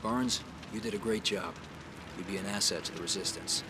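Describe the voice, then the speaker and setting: An actor playing a grave, determined resistance soldier with a terse, resolute manner in a video game. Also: male voice, lowish voice, fairly quick, background noise, lightly acted. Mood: businesslike. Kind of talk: video game cutscene acting.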